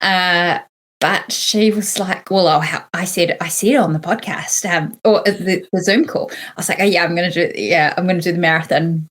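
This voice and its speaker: Relaxed New woman, lower voice. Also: normal speed. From New Zealand. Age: 30s. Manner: relaxed, rambling, many fillers. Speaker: guest, former Olympian. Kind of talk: casual conversational interview.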